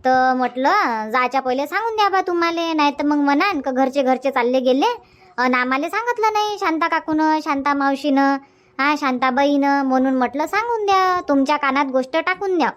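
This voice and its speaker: A woman's voice: high-pitched, expressive, brisk, fairly bright tone. A woman voicing a bossy, chatty cartoon village auntie with a Varhadi Marathi accent, insistent and repeating herself. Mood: explaining. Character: bossy, sociable village auntie organizing her friends. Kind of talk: cartoon comedy dubbing.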